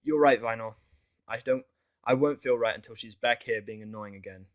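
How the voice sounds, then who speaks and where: A man's voice: on the low side, normal speed, lo-fi sound, natural voice. A man voicing a moody, stubborn, sarcastic human man in a fan fiction audio story, with curt delivery. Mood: determined. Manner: curt, sardonic, swearing.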